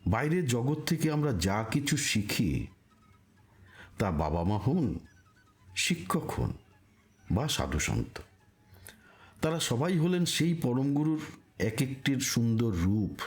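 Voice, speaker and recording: A man delivering a scripted spiritual narration in a solemn, deliberate manner, with rhetorical pauses. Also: medium pitch, sing-song, darkish tone.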